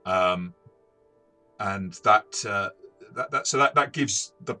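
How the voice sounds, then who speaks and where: A man's voice: fairly low pitch, slow pace, sharp tone, faint music behind. A man talking through a tabletop game review as its game master, explaining in a conversational, self-correcting way.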